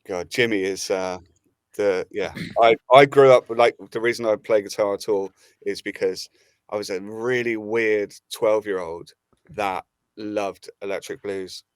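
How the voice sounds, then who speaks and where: A man, a band member on a podcast, telling stories in a relaxed way with some fillers. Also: lowish voice, measured pace, clean tone, no echo. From United Kingdom. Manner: relaxed storyteller, hesitant fillers. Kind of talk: casual chat.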